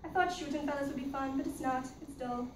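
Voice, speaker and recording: A woman's voice: high voice, fast, natural voice. A woman voicing a tough, bossy young Irish woman in a put-on Irish accent, in an amateur play reading. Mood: blunt. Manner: blunt, bossy. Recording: distant roomy recording with background noise.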